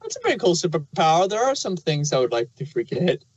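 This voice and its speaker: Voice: mid-range voice, wildly swooping pitch, quick pace, slightly rough, no echo, muffled recording. A podcast reviewer chatting casually and puzzling things out aloud. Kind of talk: casual chat reviewing an audio drama.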